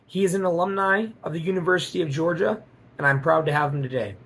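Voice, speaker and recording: A man's voice: a little high, steady tone, vocal fry, a bit bright. Stammering man hosting, echoey room. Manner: halting, stammering, repeats words. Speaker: interview host. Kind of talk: casual interview. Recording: echoey room with background noise.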